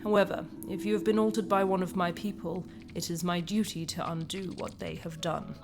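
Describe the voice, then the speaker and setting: A woman's voice: fairly low pitch, fairly even intonation, fairly quick, a little mellow, natural voice. A woman playing a towering, stern alien healer in an audio drama, sardonic and bitter, with an imperious, blunt delivery. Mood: dry, sardonic. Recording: music behind the voice.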